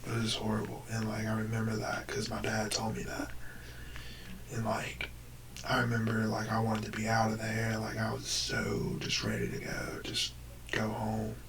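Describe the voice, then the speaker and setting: A young American man with a deep, damaged voice that drops into breathiness, telling a personal story casually and hesitantly to the camera. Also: moderate pace, creaky. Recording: noisy, distant microphone.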